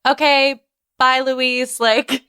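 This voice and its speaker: A woman's voice: sits high, level tone, takes it slowly, sharp tone, smooth, no echo, clean studio sound. A woman hosting a podcast, chatting casually about film in an informal, emphatic way, often repeating words for emphasis. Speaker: podcast host. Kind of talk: casual film discussion chat.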